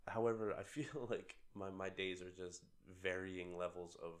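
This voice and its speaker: A man's voice: deep voice, fairly even intonation, normal speed. A young man co-hosting a casual podcast chat, sick with a cold and rambling with many filler words. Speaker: podcast co-host.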